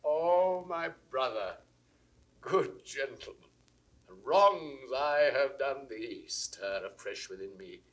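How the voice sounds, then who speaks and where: An actor playing an aging, remorseful king in classical Shakespearean verse, with a British accent and a measured, grandly declamatory delivery. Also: medium pitch, expressive, poor audio. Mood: remorseful, courtly.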